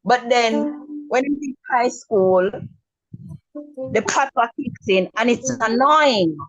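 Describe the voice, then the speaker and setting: A middle-aged woman with a Jamaican accent talks slowly and frankly, often doubling her words and filling gaps with ums. She is speaking over a video call, and the sound is slightly muffled and dull. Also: a little high, expressive, faint music behind. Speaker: webinar attendee. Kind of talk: casual remarks on a video call.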